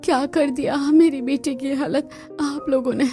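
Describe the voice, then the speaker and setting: A woman's voice: high-pitched, fairly even intonation, moderate pace, dark tone, faint music behind, close to an everyday voice. Woman acting a dramatic, scheming cartoon mother. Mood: anguished, furious. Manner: dramatic, scolding, exclamatory. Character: scheming, fiercely protective middle-aged mother of a bride. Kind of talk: Hindi cartoon story dubbing.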